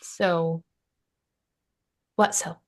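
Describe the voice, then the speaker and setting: A woman's voice: on the low side, steady tone, very slow. A woman acting a bossy, caring, wry young woman in a sitcom table read. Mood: exasperated.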